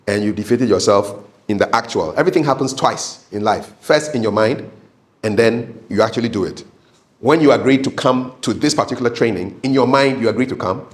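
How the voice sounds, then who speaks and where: A man with a mid-pitched voice speaks as a coach running a practical training session. He is conversational and instructive in his delivery. He repeats key points emphatically and keeps checking that listeners are following. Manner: emphatic, repetitive, checks in with "okay?". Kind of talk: live training talk with practical tips.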